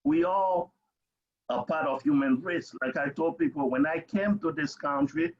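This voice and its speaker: A man's voice: on the high side, unhurried. An older man from Haiti with a Haitian accent, speaking emphatically as an interview guest, repeating himself and checking in as he goes. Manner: emphatic, repetitive, checks with "okay?". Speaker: parent advocate, interview guest. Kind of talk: conversational personal testimony. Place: remote webinar call. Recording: dull lo-fi video call audio.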